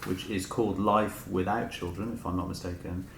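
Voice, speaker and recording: A man's voice: low-pitched, flat delivery, normal speed, a bit bright. A middle-aged man, an author being interviewed, talking reflectively and tentatively as he rephrases his thoughts. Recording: roomy echo with clear background noise.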